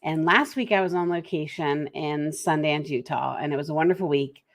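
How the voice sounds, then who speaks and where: Woman host with a head cold. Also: low voice. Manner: conversational, lively, speeds up and slows down. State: head cold, slightly congested. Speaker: podcast host. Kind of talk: solo talk giving business tips.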